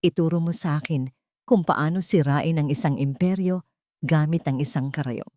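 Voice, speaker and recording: A woman's voice: low-pitched, moderate pace, natural voice. Woman acting a resolute, wronged young wife. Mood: cold determination. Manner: steady, resolute. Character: wronged young wife hardened into cold, determined avenger.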